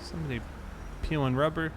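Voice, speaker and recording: A man's voice: on the high side, steady tone, brisk, noisy background. An American man chatting casually and in a laid-back, conversational way while livestreaming a game.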